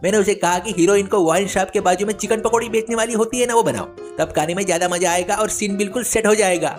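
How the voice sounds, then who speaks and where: A pushy village braggart, acted out by a male storyteller, talks in a raised, high voice. He is a boastful idler in a story cartoon, always insisting and wheedling. Music plays behind him. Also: quick pace, natural voice. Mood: self-satisfied, eager. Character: boastful, idle village chatterbox man, pushy tall-tale teller.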